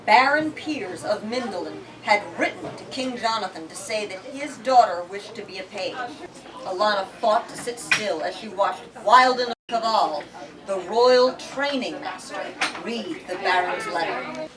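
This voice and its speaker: A woman hosting a book talk, reading and explaining dramatically with animated, emphatic, theatrical delivery. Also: mid-range voice, a little slow, recorded from a distance, a crowd in the background, rough recording. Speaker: book talk host and reader.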